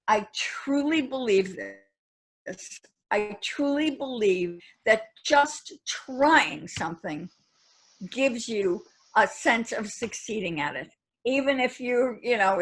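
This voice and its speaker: A woman's voice: fairly high pitch, a bit bright. A woman poet hosting an online reading panel over a video call, speaking earnestly and reflectively with frequent restarts. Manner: earnest, halting with many restarts. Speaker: poet and event host.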